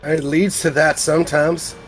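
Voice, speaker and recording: A man's voice: fairly high pitch, level tone, a little slow. A man playing in a tabletop roleplaying game, chatting casually in character. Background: background music.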